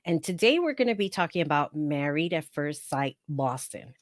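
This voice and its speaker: A woman with a heavy New York accent chats about reality TV in a sarcastic, playful way. Her voice sits low. The recording is dry and close. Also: sing-song, moderate pace, dry recording. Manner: sarcastic, playful, laughs often. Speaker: reality TV commentary host. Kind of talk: casual chatty commentary.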